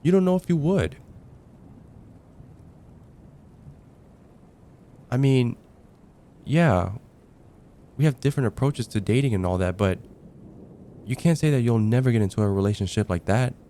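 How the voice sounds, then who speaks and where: A man acting out young male friend, casual ASMR roleplay, dark mellow tone, hesitant conversational phrasing, faint stormy rumble behind. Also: lively intonation, natural voice. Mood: mildly defensive. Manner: conversational, hesitant, with sighs and trailing pauses. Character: casual young male heartbreaker friend confiding about dating. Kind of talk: ASMR roleplay, acted one-sided conversation.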